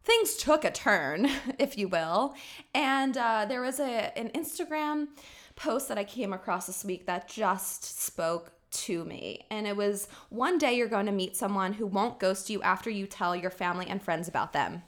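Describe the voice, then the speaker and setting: A woman co-hosting a casual podcast, telling a story in an animated, chatty way with casual swearing. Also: mid-range voice, unhurried. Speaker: podcast co-host.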